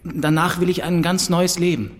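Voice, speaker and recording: A man's voice: high-pitched, steady tone, normal speed. An actor playing a melancholy young man who narrates his road trip in an introspective, wistful way, in a radio play. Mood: agitated, pleading. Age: young adult. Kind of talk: first-person radio play narration and dialogue. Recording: music behind the voice.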